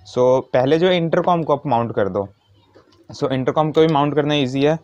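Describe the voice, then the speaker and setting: A man's voice: on the high side, moderate pace, a little mellow, dry recording. A man giving an informal hands-on tutorial step by step, mixing in English words. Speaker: motovlogger giving a how-to demonstration. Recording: dull, muffled lo-fi sound.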